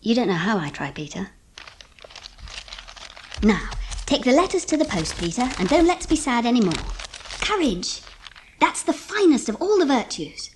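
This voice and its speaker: A woman playing a gentle, loving Edwardian mother, brave but wistful, in a dramatised audiobook. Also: fairly high pitch, big pitch swings, normal speed, pauses often, slight background noise. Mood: wistful, encouraging. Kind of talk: dramatised audiobook narration and dialogue.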